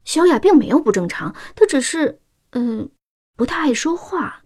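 A woman's voice: sits high, very animated, moderate pace, darkish tone. An actress playing a devoted, anxious working-class mother in a science-fiction radio drama, pleading and sighing emotionally. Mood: defensive, wistful.

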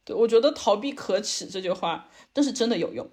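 Young woman with lively, swooping intonation. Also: medium pitch, fairly quick. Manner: emphatic, repeats words for stress. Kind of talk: casual podcast chat among friends.

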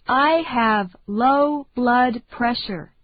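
A woman reading English phrases slowly and deliberately for learners to repeat. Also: on the high side. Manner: slow, deliberate, carefully enunciated. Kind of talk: slow repeat-after-me drill. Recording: dry, tinny phone-like sound.

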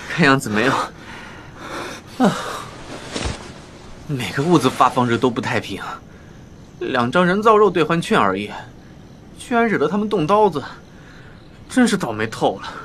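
A man's voice: fairly high pitch, very animated, normal speed, long pauses, a bit bright, a little hiss or hum. A man acting in a radio drama as a meek, poor young shop clerk with a hesitant, self-effacing way of talking. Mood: indignant, brash.

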